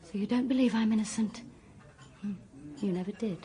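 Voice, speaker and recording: A woman's voice: mid-range voice, brisk, warm tone, close to an everyday voice. Actress as an earnest, wounded English young woman. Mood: hurt. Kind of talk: radio drama acting.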